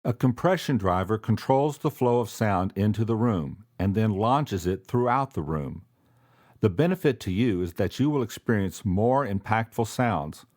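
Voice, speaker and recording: Casting: male narrator, lowish voice, smooth scripted product explainer read. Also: normal speed. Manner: explanatory, even and polished.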